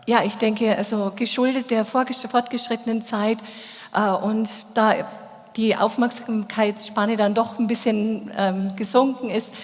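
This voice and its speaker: A woman's voice: fairly high pitch, steady tone, measured pace. A woman working as an interpreter, rendering a speech into German at a congress. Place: large hall. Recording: long hall reverb.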